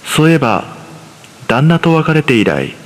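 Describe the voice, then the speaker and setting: A man's voice: on the high side, big pitch swings, normal speed. A man narrating a scripted horror story in the first person. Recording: long reverb on the voice.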